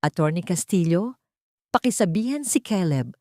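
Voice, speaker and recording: A woman's voice: lowish voice, expressive, moderate pace, darkish tone, dry recording, clean studio sound, natural voice. A woman voicing a cold, authoritative young heiress bent on revenge in an audio story. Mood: cold, commanding.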